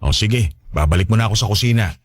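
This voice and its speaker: A man's voice: fairly low pitch, animated, fast. A man playing a doting, cheerful father in a Filipino radio drama, affectionate and easygoing.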